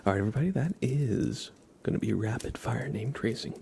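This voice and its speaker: A man hosting an ASMR livestream, talking softly and intimately to his chat and often slipping into whispers, close to the microphone. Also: fairly low pitch, big pitch swings, gravelly, noisy background. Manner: gentle, drawn-out delivery slipping into whispers.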